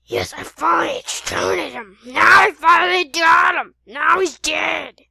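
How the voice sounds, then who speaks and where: A woman voicing a menacing, gloating villain in an amateur horror story, with a harsh, hissing rasp and slow threats. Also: low-pitched, wildly swooping pitch, pushed and bright, dry recording, clean studio sound, exaggerated voice. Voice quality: harsh, hissing, raspy whisper. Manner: slow, threatening, repeating phrases. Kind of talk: amateur improvised horror play-acting.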